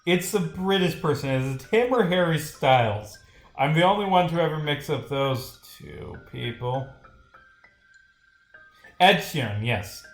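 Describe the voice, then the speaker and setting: A man's voice: a little high, animated, unhurried. Male game developer on stream, off-the-cuff and rambling; roomy sound over music. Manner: rambling, thinking out loud. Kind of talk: casual livestream commentary, reading script lines aloud.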